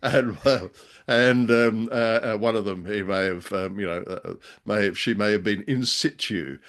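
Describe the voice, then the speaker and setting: A man's voice: fairly low pitch, measured pace, fairly bright tone. An Australian man co-hosting a casual discussion of old radio drama, with a hesitant, wordy and playfully genteel way of talking. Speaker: co-host of a radio drama review show.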